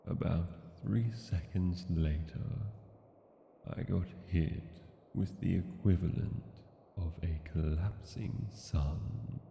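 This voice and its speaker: A brooding old-world dragon king acted by a man speaks very low and extremely slowly, weighing each phrase with regret. His gravelly voice rings in a long cavernous reverb. It is a solemn, intimate fantasy audio roleplay monologue. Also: mellow, a little hiss or hum, heavily acted. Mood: rueful awe. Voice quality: deep, gravelly. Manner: slow, deliberate, solemn phrasing with long pauses.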